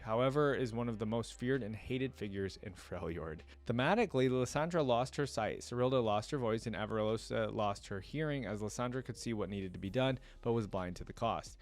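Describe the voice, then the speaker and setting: A man reacting casually to game lore as a video creator, reading passages aloud and adding musing, think-aloud asides. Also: fairly low pitch.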